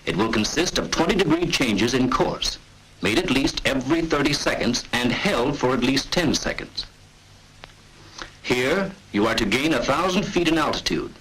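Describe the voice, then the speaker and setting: A man's voice: mid-range voice, lively intonation, normal speed, fairly bright tone, a little hiss or hum, low-quality recording. An American man narrating a 1940s military training film in an authoritative, clipped, instructional manner, on an old film soundtrack.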